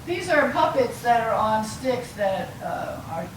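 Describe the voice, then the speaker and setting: Female academic lecturer, halting and explanatory, recorded from a distance in a roomy space with clear background noise. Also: fairly high pitch, very animated. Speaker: university lecturer. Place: lecture room, mic some way off.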